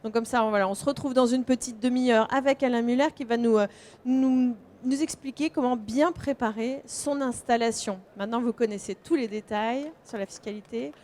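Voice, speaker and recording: Female event host, fairly high voice, spontaneous interviewer who jumps in to check and rephrase. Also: brisk, darkish tone, slight background noise. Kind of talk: live conference interview, spontaneous.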